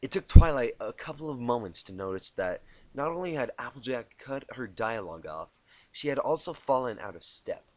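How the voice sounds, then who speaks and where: A man narrating a storybook tale as an amateur reader, halting and often repeating words he stumbles on. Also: mid-range voice, animated, darkish tone, no echo. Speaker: amateur fan fiction reader. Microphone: inexpensive home microphone.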